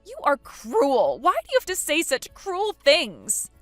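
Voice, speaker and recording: A woman voicing a shrill, entitled young woman who is spoiled and indignant. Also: huge pitch swings, a little slow, fairly bright tone, no echo, soft background music, heavily acted. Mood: whining. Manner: shrill, outraged, whiny.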